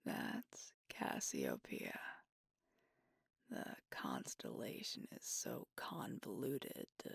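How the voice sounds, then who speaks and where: A sweet, devoted alpha werewolf girlfriend, played by a woman in a romantic ASMR roleplay. She speaks in a very low, crackly whisper, earnest and soothing, with slow phrasing. The sound is breathy, close and intimate. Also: huge pitch swings, dry recording, exaggerated voice. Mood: soft, affectionate. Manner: tender, earnest, soothing, unhurried. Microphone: close microphone, dry.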